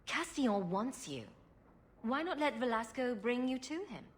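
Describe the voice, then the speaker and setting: An actress playing a confident, sarcastic heroine in a video game, speaking with dry, sardonic wit. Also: female voice, a little high, animated, normal speed, big-room sound, background noise, natural voice.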